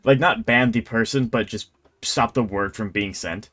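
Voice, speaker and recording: A man's voice: lowish voice, moderate pace, a bit bright. A man casually chatting and commenting as a video game streamer, in a rambling, wry manner.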